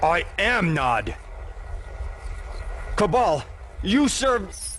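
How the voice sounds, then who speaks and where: An actor playing a commanding, zealous and threatening militant general in a video game cutscene, with a stern, authoritative manner and clipped orders. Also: male voice, high voice, very animated, very slow, exaggerated voice. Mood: furious. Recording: noisy game cutscene audio.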